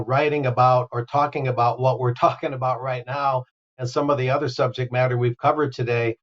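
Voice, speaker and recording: Man in a dull, lo-fi remote feed. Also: medium pitch. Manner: steady, argumentative, explanatory. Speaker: co-presenter. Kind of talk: discussion commentary.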